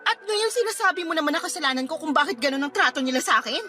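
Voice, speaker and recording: A woman's voice: high voice, expressive, racing, music in the background. An actress with a Filipino accent playing a hurt, angry young girlfriend, confrontational and sarcastic, in a radio drama. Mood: indignant.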